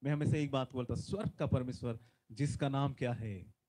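A man's voice: mid-range voice, steady tone, brisk. Fervent man preaching on a stage. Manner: fervent, repeats hallelujah, loud emphatic bursts. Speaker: visiting preacher. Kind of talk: Hindi sermon with prayer. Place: large decorated conference stage. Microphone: handheld microphone.

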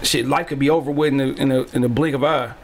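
A man's voice: medium pitch, fairly even intonation, fast, vocal fry. A man in his late thirties hosting a podcast in a small home studio, talking in a laid-back, slangy way. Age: 30s. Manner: laid-back, slangy, frequent "you know what I'm saying". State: sore back and neck after car crash. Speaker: podcast host. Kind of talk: casual interview chat. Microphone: studio mic on boom, headphones.

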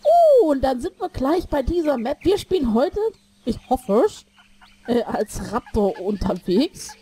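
A woman's voice: high-pitched, sing-song, a little slow, a little hiss or hum. A woman casually commenting on an online game she is playing, a bit sick and short of breath, rambling and correcting herself with jokes. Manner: rambling, self-correcting, joking. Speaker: gameplay streamer. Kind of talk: casual let's play commentary. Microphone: desk microphone in a new recording room. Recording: voice over loud game music.

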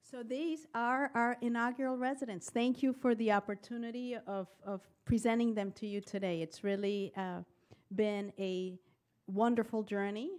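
A woman's voice: fairly high pitch, steady tone, normal speed, a little mellow. A woman speaking as a program presenter, introducing new medical residents at a formal public meeting. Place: public board meeting room.

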